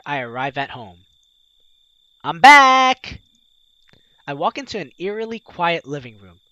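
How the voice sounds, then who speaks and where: High-voiced, animated man reading a game story. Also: no room sound, highs cut off. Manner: animated, playful, dramatic reading. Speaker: let's play host. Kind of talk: reading a game story aloud with side commentary.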